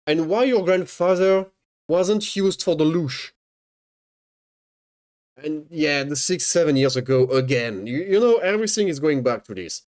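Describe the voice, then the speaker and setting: Sarcastic streamer man, higher voice. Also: a little mellow. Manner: sarcastic, swears, jokes and mocks; stammers and repeats words. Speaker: streamer reacting to a video. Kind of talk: casual reaction commentary.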